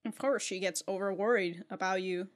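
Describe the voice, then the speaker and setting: A woman's voice: mid-range voice, steady tone, normal speed. A woman giving casual reaction commentary as a video host, blunt and jokey, with slangy, sweary talk.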